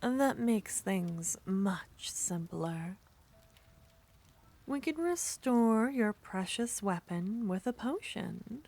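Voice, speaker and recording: A woman voicing a seductive, possessive witch, speaking softly in half whispers with a teasing manner in an ASMR roleplay. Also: medium pitch, lively intonation, extremely slow.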